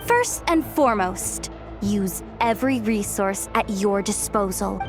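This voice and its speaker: A woman's voice: medium pitch, expressive, measured pace, music in the background. A woman voicing a cheeky, breezy young female treasure hunter in a video game, with a flippant, chatty, theatrical manner. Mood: playful bluster. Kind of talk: animated video game voice acting.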